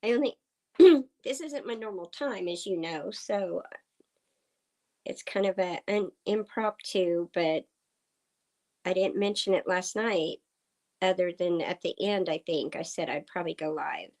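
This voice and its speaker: A woman from Oklahoma hosting a casual livestream chat with viewers. Her voice is slightly hoarse. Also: on the low side, darkish tone. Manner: slow, chatty, talking to viewers. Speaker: livestream host. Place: farm home indoors. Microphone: computer streaming setup.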